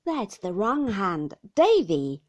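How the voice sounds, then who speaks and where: A woman voicing a gentleman suitor who speaks in a hearty, slow, coaxing way with false joviality. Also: medium pitch, big pitch swings.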